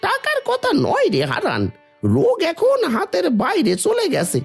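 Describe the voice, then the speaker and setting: Cartoon village healer played by a woman: very high voice, swooping intonation, solemn and resigned delivery. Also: huge pitch swings, moderate pace, gravelly, faint music behind, full character voice. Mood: grave, resigned. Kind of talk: Bengali cartoon folk-tale voice acting.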